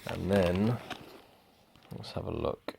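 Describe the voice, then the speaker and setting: A young man giving a relaxed, rambling product review at home, with lots of ums. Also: low-pitched, slow pace, creaky, noisy background. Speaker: motorcycle vlogger. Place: indoors at home.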